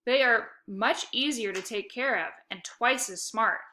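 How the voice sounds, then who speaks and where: A woman's voice: a little high, unhurried, bright, forceful tone. A woman voicing a bossy, whiny, competitive young girl in a children's storybook. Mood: smug. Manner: insistent, squawking, whiny.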